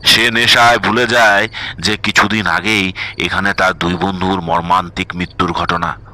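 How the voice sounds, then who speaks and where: A man's voice: sits low, steady tone, normal speed, bright, forceful tone. A man narrating a scripted horror story as its storyteller.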